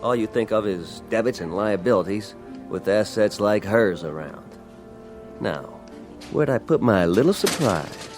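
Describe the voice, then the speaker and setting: An actor playing a brash male coworker who teases and boasts in a smooth-talking way. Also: fairly low pitch, lively intonation, unhurried, over music. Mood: flirtatious.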